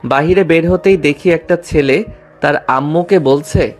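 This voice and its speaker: Guy with a highish voice telling a first person audio story, music behind. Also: measured pace. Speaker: story narrator and lead character.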